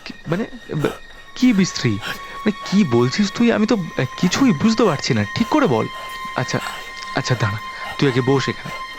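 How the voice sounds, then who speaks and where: Male narrator for a spooky audio story, steady mid pitch, eerie music and crickets behind. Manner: measured storytelling, turns anxious in dialogue. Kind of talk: first-person horror audio story narration.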